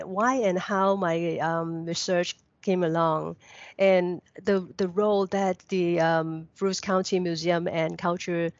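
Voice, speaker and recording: Slow woman presenting. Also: on the low side, darkish tone, dry recording, highs cut off. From Hong Kong. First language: Cantonese. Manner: careful, measured, with some restarts. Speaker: guest presenter. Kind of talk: prepared presentation.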